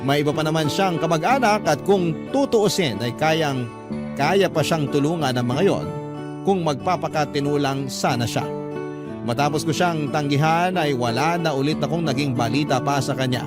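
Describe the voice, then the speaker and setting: A man's voice: a little high, moderate pace. A man with a Filipino accent narrating a personal letter as a first-person story for radio drama. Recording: music playing behind the voice. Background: plucked guitar music.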